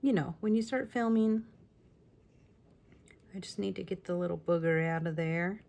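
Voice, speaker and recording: A woman with a lingering raspy voice talking casually through a scrapbooking tutorial at home, with chatty, apologetic asides while she works. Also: lowish voice, steady tone, normal speed, a little mellow. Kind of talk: casual crafting tutorial talk. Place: home craft room. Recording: faint room noise from fan. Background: fan running.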